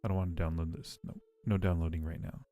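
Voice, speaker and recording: A man's voice: low-pitched, fairly even intonation, quick pace, warm tone. A man chatting casually and playfully with viewers on a livestream, teasing them affectionately. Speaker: live streamer hosting a chat.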